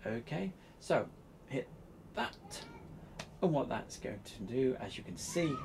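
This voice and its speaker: A man giving an informal hands-on tutorial, explaining step by step in a halting, hesitant way. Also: medium pitch, big pitch swings, gravelly, background noise. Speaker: presenter demonstrating radio equipment.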